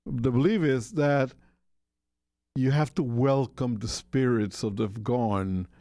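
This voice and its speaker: A man's voice: medium pitch, takes it slowly, mellow, no room sound, clean studio sound. A man from Costa Rica hosting a casual radio talk segment, rambling with many fillers and restarts. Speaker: radio show host.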